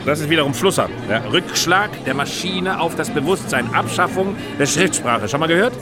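A man's voice: a little high, expressive, moderate pace, lightly acted. A man playing a talkative, opinionated German who rambles and lectures in natural radio play dialogue. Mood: lecturing, enthusiastic. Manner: rambling, lecturing, tag questions. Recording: loud music and crowd chatter behind. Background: loud music, busy crowd.

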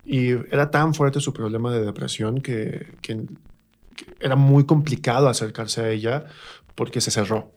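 Man, Mexican accent, medium pitch, conversational storytelling, hesitant, reflective, searching for words. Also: measured pace, darkish tone. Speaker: interview guest, performer and drama teacher. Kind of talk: conversational anecdote in a radio interview.

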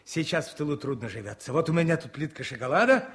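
An actor playing a kindly, fatherly army commissar, brisk and colloquial, in a 1970s Soviet radio play. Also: on the high side, lively intonation, a bit bright, a little hiss or hum.

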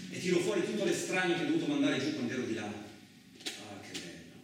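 A man's voice: medium pitch, sing-song, fairly quick, lightly acted. A man playing a boastful, hot-tempered truck driver, in a colloquial theatrical radio monologue full of muttered asides. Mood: dreamy, hushed. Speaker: actor. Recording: very echoey, distant, lightly noisy room.

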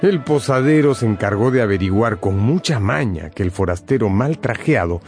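A man's voice: lowish voice, expressive, moderate pace, a little mellow. A man narrating a scripted radio drama as a dramatic storyteller. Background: background music.

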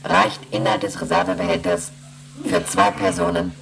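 An actress playing a female ship's computer in a German radio play, reciting emergency readings in a clipped, procedural monotone. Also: a bass voice, moderate pace, crowd noise. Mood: impassive, procedural.

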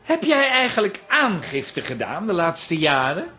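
Eager flattering helper, animated swooping pitch, encouraging, radio comedy. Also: male voice, unhurried, faint music behind, exaggerated voice. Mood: helpful, persuasive. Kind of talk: Dutch radio comedy drama acting.

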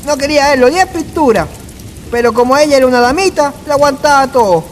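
An actor playing a wry, drunk Chilean village storyteller in a radio drama, colloquial and teasing. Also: male voice, very high-pitched, fast, a little hiss or hum. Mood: storytelling.

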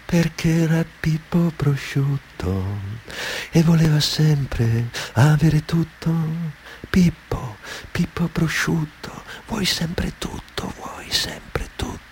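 A man performing a mocking balladeer's refrain in a radio reading, in a slow, drawn-out crooning chant. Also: a little high, a little mellow, slight background noise, voice pushed up. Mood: hushed refrain. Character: mocking sing-song balladeer chanting a greedy tycoon's refrain.

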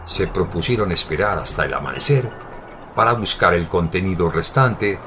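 A man's voice: low voice, a bit bright. A man narrating a scripted audio drama in a formal, literary storytelling manner. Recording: clear background ambience noise.

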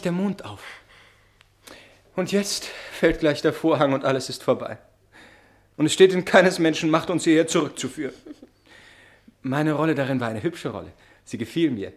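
A man's voice: medium pitch, lively intonation, normal speed, pauses often, natural voice. A man playing a wistful, tender-hearted servant lad in a 1960 German radio play, plaintive and naive. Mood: tearful, wistful.

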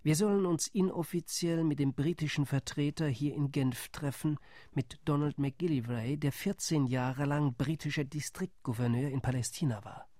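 A wary male delegate in a radio play, doubtful and deliberate, as an actor plays him. His voice sits fairly high for a man, with a grave, reporting tone. The studio sound is close and dry. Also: fairly even intonation, warm tone, no echo, close to an everyday voice. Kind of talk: radio drama monologue.

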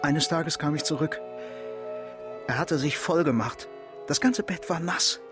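Male actor as volatile criminal confessing, slightly high male voice, intense, guilt-ridden, radio drama, faint music. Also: a little slow, lightly acted. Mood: guilty, haunted.

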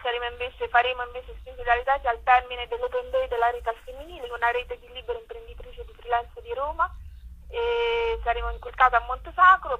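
A woman's voice: high-pitched, muffled recording. A woman explaining a project as a guest in a conversational interview.

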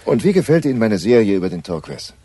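A man's voice: fairly low pitch, sing-song, fast, mellow, no echo. An actor playing a self-important, needling male journalist, sardonic and smug, in a German radio crime drama. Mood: smug, teasing. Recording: clear background noise.

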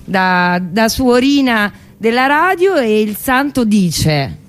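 A woman's voice: on the high side, big pitch swings, slow. A woman with a Roman accent introducing a radio show in a wry, playfully literary way with colloquial asides. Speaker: radio host. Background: music.

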